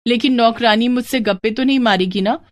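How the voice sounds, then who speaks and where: A woman's voice: fairly high pitch, brisk, natural voice. A woman playing a caring, persistent married sister-in-law in a moral story, earnest and coaxing. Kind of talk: Hindi moral story character voice.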